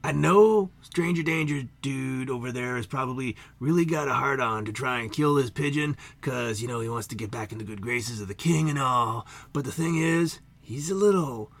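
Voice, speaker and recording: A man voicing a huge, easygoing male dude who drawls in relaxed slacker slang. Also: medium pitch, moderate pace, lightly acted. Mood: laid-back.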